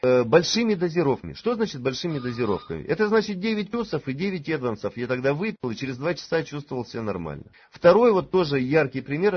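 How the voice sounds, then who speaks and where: A man in his forties giving a conference talk to promote a supplement. He speaks hesitantly and fills his pauses with drawn-out "uh"s. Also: medium pitch, breathy edge, lo-fi sound. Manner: hesitant, many drawn-out "uh" fillers. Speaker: presenter at a sales conference.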